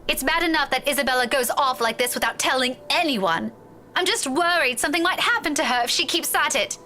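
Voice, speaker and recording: An earnest young woman in a visual novel game, worried and imploring. Her voice sits high and rings bright, with clear ambient noise behind it. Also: lively intonation, brisk. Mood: worried, urgent.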